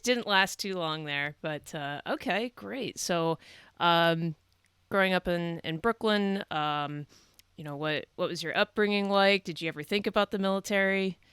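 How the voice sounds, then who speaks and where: A woman's voice: lowish voice, unhurried, a bit bright, clean tone, dry recording, clean studio sound. A woman hosting a podcast, chatty and encouraging as she interviews her guest. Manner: chatty and encouraging, with frequent fillers and laughs. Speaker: podcast host, Navy reservist. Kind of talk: casual interview conversation.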